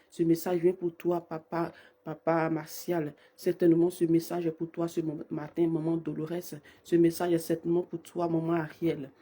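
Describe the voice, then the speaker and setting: A woman with a cold leads a streamed morning prayer, her voice hoarse and husky. She keeps a dark, mellow tone and a flat, level delivery. She builds fervent, repeated invocations. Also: low-pitched. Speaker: prayer leader.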